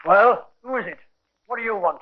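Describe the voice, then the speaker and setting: An actor playing a sly, brash working-class husband with a gravelly, wheedling, blustering manner in a 1950s radio crime drama. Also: male voice, sits high, moderate pace, background noise, lightly acted. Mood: surly, defensive. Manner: wheedling, coarse-spoken, blustering. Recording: dull, lo-fi 1950s radio recording.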